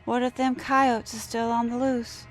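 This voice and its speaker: A woman plays a deranged young woman in a horror tale. The voice is raised and childlike, dazed and plaintive, repeating itself with an eerie flatness over faint music. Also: fairly high pitch, measured pace, voice pushed up, close to an everyday voice. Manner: flat, repetitive, plaintive. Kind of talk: dramatised horror storytelling.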